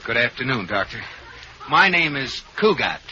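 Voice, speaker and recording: A flustered, stammering banker husband. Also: male voice, medium pitch, very animated, normal speed, sharp tone. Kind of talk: 1940s radio comedy acting. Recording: dull, noisy old radio broadcast with audience.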